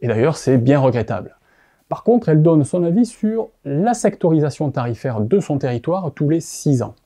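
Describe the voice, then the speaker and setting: Very dark-voiced French man presenting. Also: on the high side, big pitch swings, moderate pace. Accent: French from France. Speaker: presenter of explainer videos. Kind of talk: scripted explainer narration.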